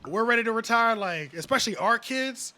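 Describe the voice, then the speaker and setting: Young male guest with a high, bright voice; eager agreement, lively casual chat. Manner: agreeable, quick back-channel affirmations, lively. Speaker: podcast guest.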